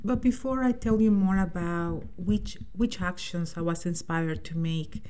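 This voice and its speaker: A woman's voice: on the low side, a little slow, mellow. A middle-aged woman from El Salvador hosting a community radio show, sharing a personal story in an earnest, reflective way. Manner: earnest, reflective, with many restarts and "right?" tags. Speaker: radio host.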